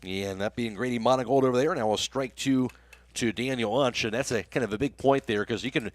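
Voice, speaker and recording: Male radio sportscaster for baseball play-by-play and sponsor reads; mid-pitched voice, dry close sound. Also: a little fast, dry recording. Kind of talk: baseball play-by-play commentary with read sponsor spots. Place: live radio broadcast from a ballgame.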